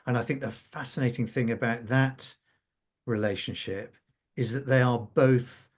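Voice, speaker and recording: A man speaking as a scriptwriter on a panel, reflective and halting, repeating phrases as he thinks aloud. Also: mid-range voice, fairly even intonation, slightly rough. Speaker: scriptwriter for a radio drama serial.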